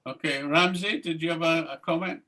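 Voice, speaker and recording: Bright-voiced man chairing a Q and A. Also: on the high side, steady tone, a little fast. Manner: brief, calls on people by name. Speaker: meeting chair. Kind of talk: moderating Q and A.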